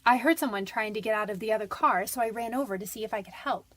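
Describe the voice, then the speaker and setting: A woman's voice: fairly high pitch, quick pace, fairly bright tone. An actress playing a frightened but defiant young convicted woman in a radio detective drama, defensive, pleading and slangy. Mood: anxious recounting.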